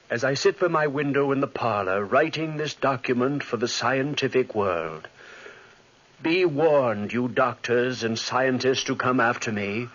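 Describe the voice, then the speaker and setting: An actor playing a driven, haunted young gentleman scientist in earnest, formal, dramatic 1940s radio drama style, with a husky voice. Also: mid-range voice. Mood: haunted, warning. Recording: muffled 1940s radio recording.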